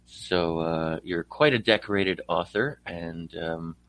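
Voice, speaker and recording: A man from Poland interviewing a guest on a book podcast in a conversational, somewhat halting way. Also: sits low, a bit bright.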